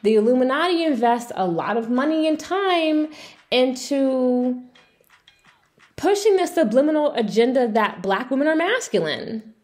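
A woman with a fairly high voice talks casually and bluntly, giving her opinions as a video commentator. She sounds exasperated and swears freely as she goes. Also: expressive, measured pace, a little mellow.

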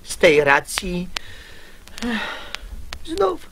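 Animated, grumbling sly fox. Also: male voice, sits high, extremely slow, slight background noise, heavily acted. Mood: frustrated. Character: sly male fox grumbling over his failed trick. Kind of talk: radio verse drama.

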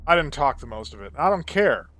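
A man's voice: a little high, sing-song, brisk, pushed and bright. A man giving casual, opinionated gameplay commentary in a rambling way, with some swearing. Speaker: let's play video creator. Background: game soundtrack music behind the voice.

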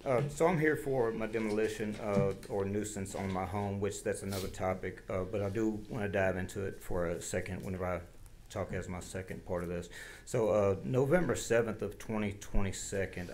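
A man's voice: fairly low pitch, darkish tone. A middle-aged man giving an insistent, partly improvised public comment at a podium microphone in a council chamber. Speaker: public commenter, local resident. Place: large indoor council chamber. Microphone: podium gooseneck microphone. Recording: faint-to-clear room noise behind podium speech.

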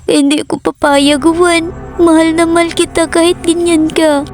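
This is a loving village mother from a storybook cartoon, voiced by a woman, who frets and scolds but clearly dotes on her son. Her voice sits high, and faint music plays beneath her lines. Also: level tone, moderate pace, a little mellow. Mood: tender. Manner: scolding then tender, fussing. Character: loving worried village mother, middle-aged.

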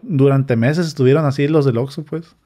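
A young man, telling a story as a podcast guest, animated, acting out quoted comments in a raised voice. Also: medium pitch, normal speed. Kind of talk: casual storytelling. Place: small studio with a sofa. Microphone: studio mic on a boom arm, wearing headphones.

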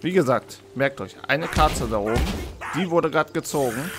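A man with a slightly hoarse voice giving casual game commentary as a let's play host, thinking aloud and repeating words. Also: medium pitch, lively intonation, normal speed. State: hoarse from being under the weather. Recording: music behind the voice. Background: game music.

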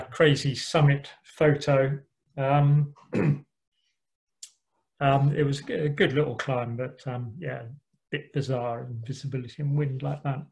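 A middle-aged man giving a relaxed slide talk about a sailing voyage, with frequent ums and dry asides. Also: medium pitch, creaky, rough recording. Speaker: yacht club speaker. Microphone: online call microphone.

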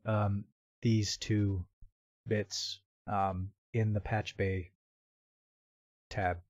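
A man presenting a casual software tutorial in a halting, step-by-step way, as if thinking aloud. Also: lowish voice, dry recording, highs cut off. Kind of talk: casual screen-recorded tutorial narration. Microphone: computer microphone with real-time noise removal.

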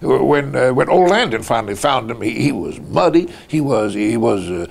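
A man's voice: medium pitch, lively intonation, creaky, slight background noise. An older man playing a folksy, profane oil executive in a dramatized phone call, stammering and rambling as he goes. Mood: amused, profane. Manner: halting, rambling, stammering delivery.

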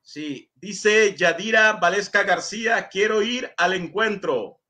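A man's voice: high-pitched, slow pace, pushed and bright. A middle-aged man from Honduras hosting an interview on a video call, courteously reading viewer messages aloud. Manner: courteous, reads comments aloud. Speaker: host.

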